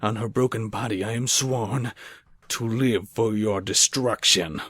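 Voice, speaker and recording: A man's voice: on the low side, unhurried, a bit bright, breathy edge, no room sound. A man playing a haunted, defiant scientist in a horror radio play, with an earnest, theatrical delivery. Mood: anguished, vengeful. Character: anguished, haunted scientist and new husband, defiant. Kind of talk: amateur radio-play style horror acting.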